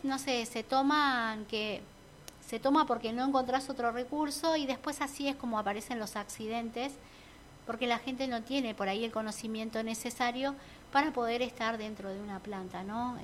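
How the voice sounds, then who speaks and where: A talkative woman with an Argentine Spanish accent shares her views in casual chat. Her voice sits a little high and she muses with fillers and restarts. Faint ambient noise hums behind her. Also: low-quality recording. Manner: chatty, reflective, many fillers and restarts. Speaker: entrepreneur guest.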